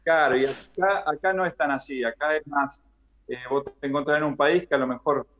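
A middle-aged man with an Argentine accent, chatting as an interview guest over a video call, filling pauses with "eh" and "viste". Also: a little high, fairly even intonation, fairly bright tone. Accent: Argentine Spanish. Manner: chatty, fills with "eh" and "viste", restarts phrases. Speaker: interview guest, former basketball player. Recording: dull lo-fi video call audio.

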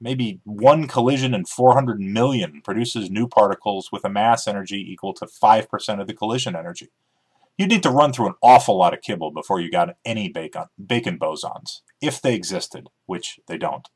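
A man's voice: fairly low pitch, a little fast, husky. A man giving a dramatic reading of a book as its author, with scripted narration and dialogue.

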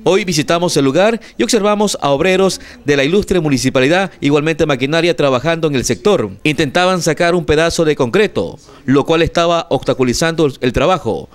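An Ecuadorian man reads a local news report in a formal, even delivery. His voice sits slightly high for a man. The narration is clean, with nothing behind it. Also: brisk. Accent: Ecuadorian Spanish.

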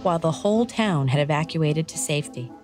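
A woman with a low voice narrates a scripted story for children. Her delivery is measured and clearly articulated, and soft music plays behind her. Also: lively intonation.

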